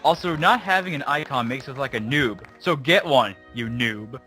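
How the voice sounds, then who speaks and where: A man with a medium-pitched voice acts out written posts from an online argument. He sounds snide and dismissive, with music clearly audible behind him. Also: expressive, normal speed, sharp tone. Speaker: reader of a dramatic reading. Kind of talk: dramatic reading of an online argument.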